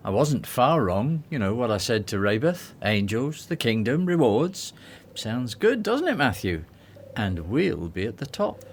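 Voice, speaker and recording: A man's voice: mid-range voice, very animated, unhurried, a little hiss or hum. A man acting in a radio drama as a cynical, worldly disciple who jokes sardonically and grumbles. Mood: scornful, grumbling.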